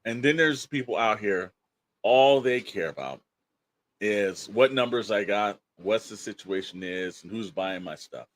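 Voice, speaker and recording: A man's voice: lowish voice, measured pace, rough recording. A man co-hosting a podcast, giving his opinions in an emphatic, rambling, colloquial way. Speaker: podcast co-host. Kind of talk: opinionated casual podcast talk.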